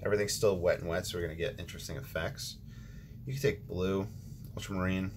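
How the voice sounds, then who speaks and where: A man with a very low voice talks through a watercolor lesson at his painting table, loose and unhurried, pausing with ums and correcting himself as he goes. Steady room noise hums behind him. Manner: relaxed, thinking aloud with ums and restarts. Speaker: watercolor tutorial host. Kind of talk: casual painting instruction.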